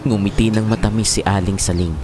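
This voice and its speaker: A man's voice: on the low side, fairly even intonation, fast, noisy background. A man narrating a scripted horror story in a dramatic style. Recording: loud music bed behind voice.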